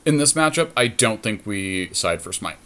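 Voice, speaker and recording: A man's voice: on the low side, expressive, moderate pace, bright, forceful tone. A man co-hosting a podcast, giving casual card game commentary and thinking plays through aloud in a conversational way. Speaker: podcast co-host.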